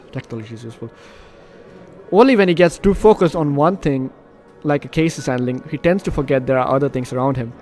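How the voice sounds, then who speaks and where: A man's voice: medium pitch, a little mellow. A man doing let's play commentary, reading game narration aloud with jokey asides and stumbles over words. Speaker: gaming video host.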